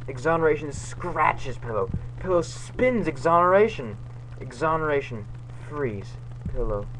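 A young man giving a mock-dramatic, theatrical reading of scripted text on a noisy webcam microphone in a room. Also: fairly high pitch. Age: young adult. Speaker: amateur video maker reading aloud. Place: small room indoors. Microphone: webcam or laptop microphone, close.

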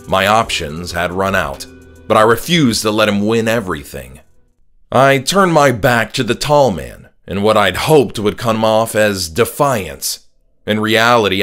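A man's voice: lowish voice, a bit bright. A man narrating a horror audiobook as its author, in a dramatic scripted style. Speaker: author narrating his own horror story. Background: music.

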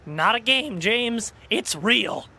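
Excitable scheming geek man acting, bright voice. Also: sits high, slow pace, creaky, exaggerated voice. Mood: persuading. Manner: excitable, persuasive. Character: eager, scheming sci-fi fan club enthusiast. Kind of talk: comedic audio drama acting.

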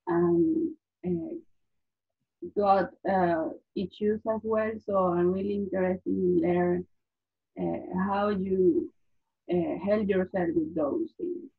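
Through narrow, phone-like call audio, a woman with a Venezuelan accent introduces herself. Her first language is Spanish, and her husky voice moves slowly and haltingly. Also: mid-range voice. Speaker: workshop participant.

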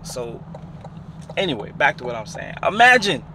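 A man's voice: on the high side, big pitch swings, moderate pace. A man, chatting casually while driving, laid-back and slangy. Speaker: barber and vlogger. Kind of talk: casual vlog chat. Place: inside a car, driving. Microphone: camera mounted in car. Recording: loud road noise.

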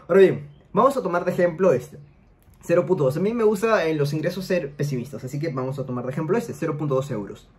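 Young guy talking super fast over his screen, highish voice, keeps asking "right?". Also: expressive. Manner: rapid explainer, tag questions. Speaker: money-making YouTuber. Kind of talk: screen-share tutorial talk. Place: home room, seated in gaming chair.

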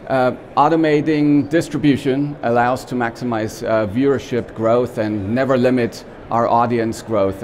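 A man in his forties from Austria, with an Austrian German accent, giving a lively, joking trade-show talk full of fillers and restarts, on a small expo stage. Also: mid-range voice, a little hiss or hum. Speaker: company CEO giving a conference talk.

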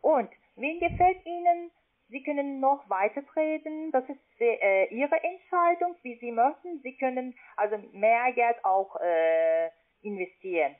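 A non-native woman with a foreign accent giving a halting phone sales pitch as a call-centre agent. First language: not German. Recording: thin, band-limited phone call.

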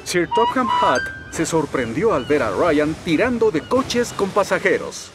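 A man's voice: a little high, big pitch swings, a little slow. A man with a Latin American Spanish accent narrating a scripted children's story. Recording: loud music behind the voice.

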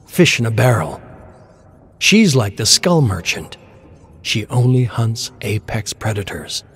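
Male narrator for dramatic scripted fiction, mid-range pitch. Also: very animated, a little slow, fairly bright tone, husky, soft background music.

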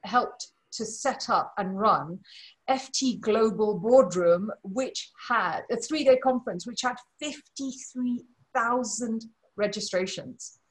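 A woman's voice: mid-range voice, a bit bright, poor audio. Effusive woman hosting a webinar. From Malawi. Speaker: webinar host and charity board chair. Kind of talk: informal spoken introduction and charity appeal. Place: living room at home. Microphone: video call computer microphone.

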